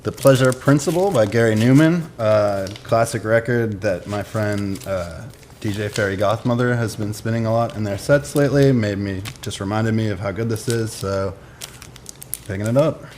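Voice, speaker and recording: A man's voice: fairly low pitch, normal speed, darkish tone, slight background noise. A man presenting his picks in casual, unscripted talk, using short informal phrases. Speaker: musician presenting record picks. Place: indoors in a record shop.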